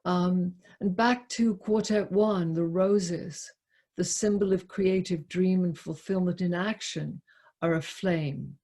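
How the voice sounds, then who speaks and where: Slow, husky woman leading a discussion. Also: on the low side, steady tone, mellow. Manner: halting, reflective, repeats words as she explains. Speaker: poetry lecturer leading a discussion. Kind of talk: poetry talk and open group discussion.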